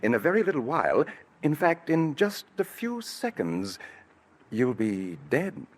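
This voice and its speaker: An actor playing a scheming male poisoner in a 1940s radio drama, with a husky voice and a silky, menacing way of talking full of hesitant fillers. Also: medium pitch, very animated, unhurried. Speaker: villain, Roger. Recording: old 1940s radio broadcast recording.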